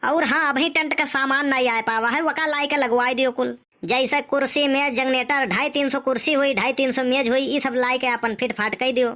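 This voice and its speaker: A man's voice: extremely high pitch, steady tone, moderate pace, bright, forceful tone. A man voicing a sly, stingy village host in a comic cartoon, with an Awadhi accent and mock-polite excuses. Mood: sly, bossy.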